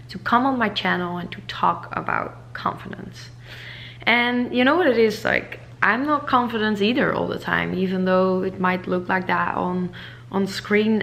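A woman's voice: fairly low pitch, a little hiss or hum. A woman in her twenties, raised in Zimbabwe and the Netherlands, talking openly to camera from her living room, now and then dropping to a whisper. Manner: open, reflective, slips into soft whispers. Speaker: vlogger and model. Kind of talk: casual talk to camera. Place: living room at home, indoors. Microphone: camera in front at close range.

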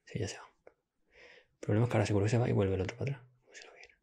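A man in his thirties with a Spanish accent from Spain and a husky, breathy voice, muttering candidly between ASMR takes. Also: on the low side, steady tone, very fast, dark tone. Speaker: ASMR video creator.

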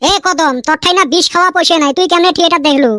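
A woman's voice: very high-pitched, fairly even intonation, very fast, bright, forceful tone, no echo, clean studio sound. A woman voicing a boastful young male friend in a cartoon, bragging and defensive. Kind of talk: cartoon voice acting.

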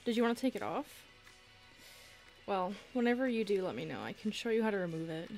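A woman's voice: mid-range voice, very animated, fairly quick, gravelly, a little mellow, faint music behind. A woman acting a casual, motherly, sweary young goth in an ASMR roleplay. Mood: friendly.

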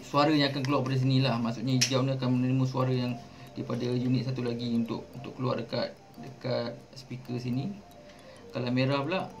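A man with a Malaysian accent casually unboxing and demonstrating something, halting and repeating words as he explains. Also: mid-range voice. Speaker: vlogger. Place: indoors at a table at home. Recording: music playing behind the voice.

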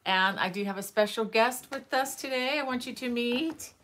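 A woman's voice: fairly high pitch, normal speed, fairly bright tone, a little hiss or hum. A middle-aged woman hosting a crochet livestream from her living room, chatting casually and greeting viewers by name, with many fillers. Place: living room with bookshelves, indoors.

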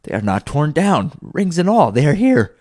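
A man's voice: lowish voice, unhurried, creaky, darkish tone. A man voicing a repentant old miser in a storybook, fervent and exclamatory, with breathless, effusive delivery. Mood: giddy elation. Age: older.

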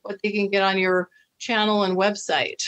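A middle-aged American woman hosts over a video call, speaking at an ordinary pitch. She is chatty and reassuring, weaving in fillers as she shares advice. Her voice comes through a webcam mic in a home room. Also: flat delivery, moderate pace, fairly bright tone, highs cut off. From United States. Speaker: dating coach and host. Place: indoor room with flowers.

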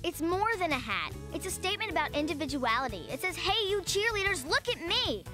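A woman's voice: very high voice, sing-song, fairly quick, music in the background. A woman playing an earnest, insecure twelve-year-old girl in a cartoon, dramatic and concerned with being cool. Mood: eager, determined. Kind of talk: animated series dialogue.

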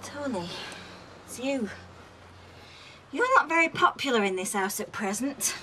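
An actress playing a wary woman of the house, speaking in soft, hushed tones. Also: a little high, big pitch swings, measured pace, very noisy. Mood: concerned.

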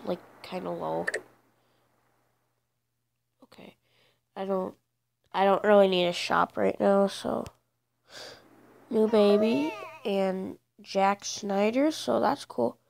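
A woman's voice: medium pitch, vocal fry. Congested woman rambling over game clicks. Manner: rambling, hesitant, many fillers. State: stuffed up, congested. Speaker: gaming channel host. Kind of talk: casual game commentary. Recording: loud background noise.

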